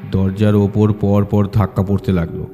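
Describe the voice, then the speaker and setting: A man's voice: on the low side, level tone, moderate pace. A man reading a scripted horror audiobook as its story narrator.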